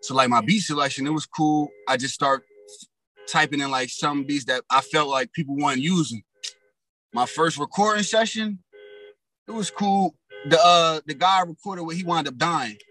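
A raspy young man telling his story in loose, slang-heavy casual talk as a rapper guest. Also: a little high, normal speed, bright, forceful tone, rough recording.

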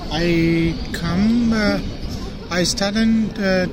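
Slow, flat-voiced man in broken English. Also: high voice, a crowd in the background. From Afghanistan. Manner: slow, halting English, many fillers. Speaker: hotel receptionist interviewee. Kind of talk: casual chat. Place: outdoors near a busy square. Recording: loud outdoor noise, off-mic.

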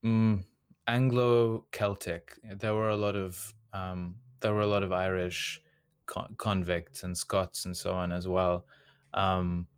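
A young Australian man with a mild accent talks through an interview in a low, smooth voice. He grew up speaking Serbian at home and chats casually, leaning on "like" as filler. The sound is dry and close. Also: level tone, slow, no echo. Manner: chatty, lots of "like" fillers. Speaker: podcast guest. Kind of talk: casual conversation.

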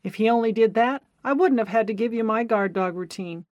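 Woman reading a chirpy, brisk female receptionist, dry read. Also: fairly high pitch, darkish tone, no echo, muffled recording, lightly acted.

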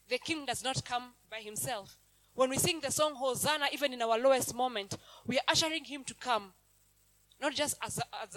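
A woman's voice: on the high side, a bit bright, dry recording, rough recording. A woman preaching a sermon in an emphatic, repetitive cadence.

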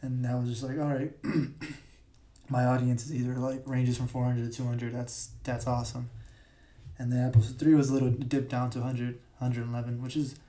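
A young man with a raspy voice hosts his own podcast. He rambles casually and keeps correcting himself, using plenty of fillers. His delivery stays flat and mellow, and a large room can be heard around him. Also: medium pitch, normal speed. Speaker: podcast host. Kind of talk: casual solo podcast talk. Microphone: podcast mic.

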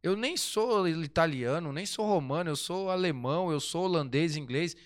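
A man's voice: a little high, lively intonation, normal speed. A man telling history, rambling and restarting, asking himself rhetorical questions. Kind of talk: casual history chat.

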